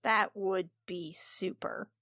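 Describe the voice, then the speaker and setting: A woman playing a tabletop roleplaying game, speaking casually and haltingly as she improvises dialogue. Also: lowish voice, creaky, sharp tone, no room sound, studio quality.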